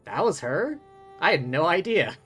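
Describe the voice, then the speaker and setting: Male game streamer, fairly high register, casual and talkative, light music underneath. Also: very animated, measured pace, fairly bright tone, husky. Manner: chatty, thinking aloud. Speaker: game livestreamer. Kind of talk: casual live stream commentary.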